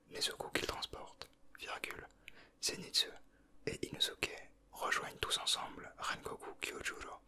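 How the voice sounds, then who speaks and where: A man whispers very close to the mic in an extremely bright, breathy hiss. He talks in casual ASMR style, slipping in teasing, slangy asides as he comments. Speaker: ASMR video creator.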